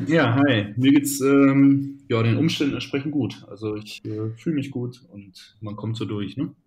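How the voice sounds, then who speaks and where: A man in his twenties from the Hannover region, a football player answering interview questions casually, pausing often with fillers and restarting sentences. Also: medium pitch, darkish tone. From Hannover region, Germany. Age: 20s. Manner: hesitant, many fillers and restarts. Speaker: professional football player, guest.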